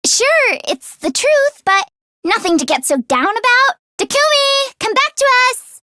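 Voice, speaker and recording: A woman voicing an excitable, earnest teenage girl inventor who gets easily flustered, in an expressive anime-style video game performance. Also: very high-pitched, unhurried, exaggerated voice. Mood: awkward, flustered. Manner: expressive, swinging from deadpan grumbling to frantic outbursts.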